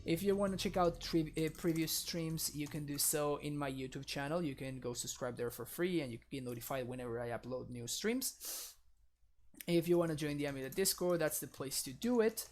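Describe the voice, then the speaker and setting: A man's voice: a little high, brisk, a little hiss or hum. Chatty streamer man with Spanish accent. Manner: chatty, laughs often, talks to chat. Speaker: game streamer. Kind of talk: casual live-stream commentary.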